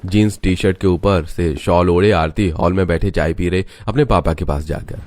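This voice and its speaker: A man's voice: sits low, moderate pace, darkish tone, dry recording. A man narrating a scripted moral story as its storyteller.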